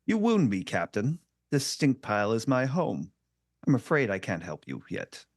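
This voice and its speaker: A man's voice: fairly low pitch, huge pitch swings, normal speed, no echo, clean studio sound, full character voice. A man playing a menacing alien pirate boss in a table read, mock-courteous and theatrical. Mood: cool menace. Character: menacing, silkily mocking Deltan pirate crime boss. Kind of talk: fan script table read.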